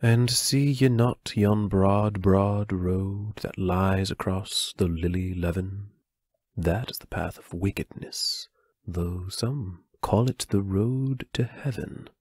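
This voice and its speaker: Grave male mentor, played by a man, low and measured, close dry sound, unhurried old-ballad delivery. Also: fairly even intonation, darkish tone, no echo. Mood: solemn. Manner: measured, solemn. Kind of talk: audio play acting with verse recitation.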